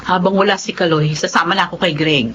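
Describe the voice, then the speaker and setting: A woman's voice: on the low side, steady tone, fast talker, a bit bright, slight background noise, natural voice. A woman playing a willful, unrepentant cheating wife and mother in an emotive Filipino radio melodrama. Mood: defiant, cold. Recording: dull lo-fi radio drama audio.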